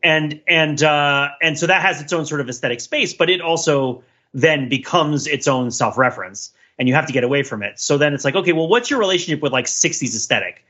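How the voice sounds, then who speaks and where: A man's voice: fairly high pitch, expressive, fairly quick, pushed and bright, highs cut off. A man co-hosting a podcast, talking casually and analytically in a discursive way, thinking aloud with many asides. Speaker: podcast co-host.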